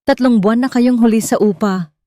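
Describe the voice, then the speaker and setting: A woman with a Filipino accent voicing a gruff, threatening male landlord in a story, shouting harsh demands. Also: a little high, fairly quick, dry recording, studio quality, pitched above the performer's own voice, close to an everyday voice. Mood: angry, threatening.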